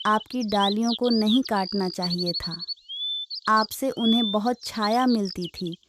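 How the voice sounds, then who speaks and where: Woman as a slow-talking, loyal young parrot. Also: mid-range voice, heavy background noise, natural voice. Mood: concerned. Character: young male parrot, loyal, caring and devoted. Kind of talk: acted children's moral story.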